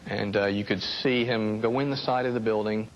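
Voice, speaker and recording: An American man tells a first-hand story as an interviewee. His voice is fairly low, he speaks haltingly with repeated words, and there is faint noise behind. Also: fairly even intonation, lo-fi sound. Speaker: air traffic controller interviewee.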